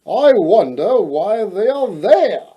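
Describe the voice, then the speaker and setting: Guy playing an earnest young fairytale prince, gentle n wonderstruck, slow drawn out words, pitched up a bit. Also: very animated, slightly rough, dull audio, exaggerated voice. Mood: awed.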